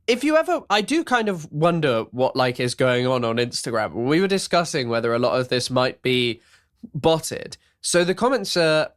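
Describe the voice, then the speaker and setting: A man hosting a podcast in casual chat, with a loud voice and a rambling way of talking full of restarts. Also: fairly high pitch. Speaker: podcast host.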